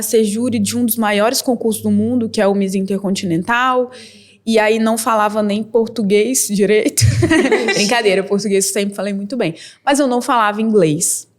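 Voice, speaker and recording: A young woman from Brazil, a model and entrepreneur, telling her story as a podcast guest in a candid, lively way with quick bursts and laughs. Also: mid-range voice. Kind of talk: casual interview storytelling.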